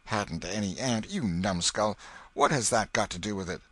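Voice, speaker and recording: A man voicing a stern but soft-hearted old woman with sharp, old-fashioned country speech. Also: lowish voice, lively intonation, normal speed, husky, no room sound. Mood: scolding.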